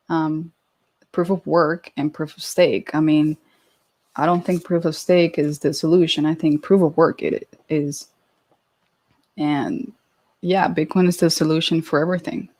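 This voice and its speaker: A woman's voice: low-pitched, a little mellow. A woman in her twenties hosting a casual livestream, chatty and giggly as she reads out and answers viewer questions. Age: 20s. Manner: giggly, chatty, with many fillers and restarts. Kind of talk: casual live chat.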